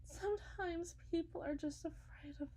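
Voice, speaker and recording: Woman acting a very high-voiced, fervent faith healer. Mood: sorrowful, resigned. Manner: theatrical, pleading, sing-song preaching with drawn-out words and sudden hushes. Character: fervent, unsettling female faith healer and self-styled prophet, sweetly coaxing. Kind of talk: dramatic ASMR roleplay monologue.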